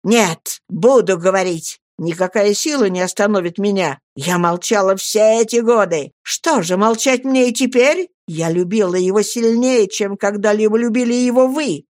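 A woman reading an audiobook who voices a bitter, scarred young woman, hurling shrill, accusing, impassioned outbursts. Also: normal speed, few pauses, a little mellow, dry recording, studio quality, natural voice.

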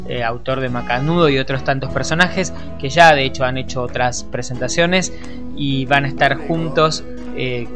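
A man with an Argentine accent casually presenting music as a radio host, with music playing behind him. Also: mid-range voice.

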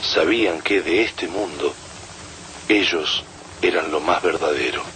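A man giving a grave, measured, scripted reading of literary prose. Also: low-pitched, sharp tone, a little hiss or hum, rough recording.

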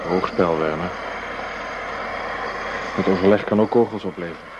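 A man's voice: low-pitched, normal speed, dull audio, natural voice. An actor playing a wary, armed undercover policeman keeping watch in a radio drama. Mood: wary, tense. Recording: very noisy radio play with ambience.

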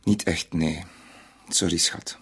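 Meek male bank clerk, very husky and breathy, anxious, defensive, hesitant, radio play. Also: sits low, fairly even intonation, slow pace, a bit bright, full character voice. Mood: evasive, subdued. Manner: hesitant, protesting. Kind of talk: radio drama acting.

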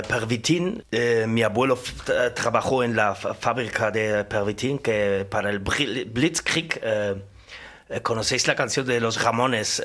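A man's voice: fairly low pitch, a bit bright. Man playing a laid-back German professor. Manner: hesitant, full of ums. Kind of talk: comedy radio sketch.

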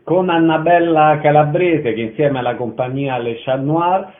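A man's voice: a little high, a little slow, narrow, tinny audio, faint music behind. A man hosting a radio interview and putting questions to guests. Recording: band-limited radio broadcast sound.

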